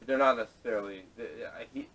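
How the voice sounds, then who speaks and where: A man's voice: medium pitch, expressive, fast talker, a bit bright. Distant-sounding man chatting on a podcast. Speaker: podcast co-host. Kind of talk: casual chat about movies. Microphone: camera microphone at a distance. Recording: poor camera audio, distant and noisy.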